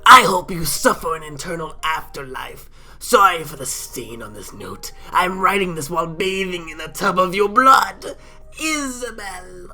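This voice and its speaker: A man's voice: sits high, expressive, unhurried, pushed and bright, voice pushed up, heavily acted. A man with a stuffy cold voicing a grieving, vengeful female dog assistant who sobs and pleads. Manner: sobbing, anguished pleading.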